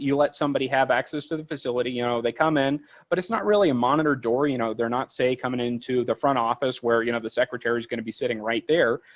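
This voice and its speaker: A man with a medium-pitched voice leads a technical product tutorial in a conversational way. He explains things informally, wandering through everyday examples like a trainer at ease with the material. The recording is somewhat dull and lo-fi.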